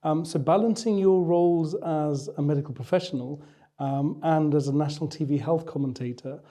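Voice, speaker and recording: A man hosting a podcast interview, putting questions in measured, halting phrases. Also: on the high side, mellow. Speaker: podcast host interviewing a doctor.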